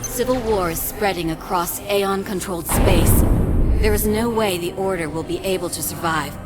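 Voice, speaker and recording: A solemn female officer of a holy military order played by an actress in a video game. She briefs formally in a medium-pitched voice under loud music. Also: moderate pace, close to an everyday voice. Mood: grave.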